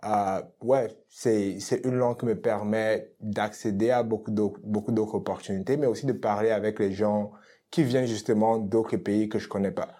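A man's voice: on the low side, steady tone, normal speed, warm tone. A man from Cameroon, a guest artist in a casual interview, speaking thoughtfully with many hesitant fillers and restarts.

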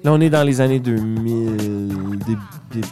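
A woman's voice: a bass voice, unhurried, music in the background. A woman hosting a backstage interview, asking chatty questions. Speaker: interviewer, host.

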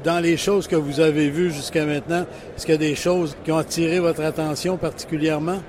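A man's voice: on the high side, flat delivery, fairly quick, noisy background. A man with a Quebec French accent, a reporter narrating and asking friendly questions in a news interview.

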